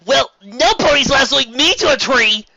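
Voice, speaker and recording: A man plays a young male dragon in a storybook reading. The voice is raised high and bright, with an eager, boastful, exclaiming manner. It swings around with lively energy. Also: normal speed, creaky, dry recording, muffled recording, full character voice.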